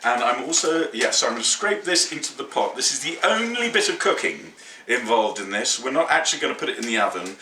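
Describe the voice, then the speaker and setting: A middle-aged man, hosting a home cooking demonstration in a kitchen, chatty, playful and excitable. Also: mid-range voice, fairly quick, roomy sound, distant mic, a little hiss or hum. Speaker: cooking show host.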